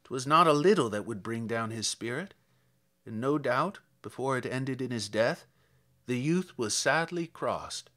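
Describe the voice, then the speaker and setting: A man voicing a kindly old village priest who recalls the dead, reading dramatic poetry in a measured, solemn, sorrowful way. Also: mid-range voice, expressive, a bit bright.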